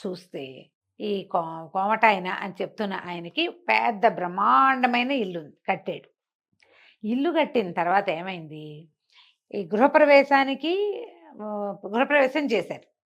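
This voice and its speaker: Female oral storyteller, higher-pitched, conversational and explanatory, throws in rhetorical questions. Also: lively intonation, measured pace. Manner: explanatory, asks rhetorical questions as she narrates.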